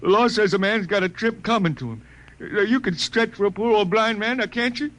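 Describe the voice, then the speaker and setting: An actor playing a poor old blind spaceman, stubborn and wistful, with folksy slangy speech, in radio drama. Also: fairly quick. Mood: humble, wheedling. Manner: folksy drawl with slangy, dropped grammar. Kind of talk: 1950s radio drama acting. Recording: noisy old 1950 radio recording, loud rumble.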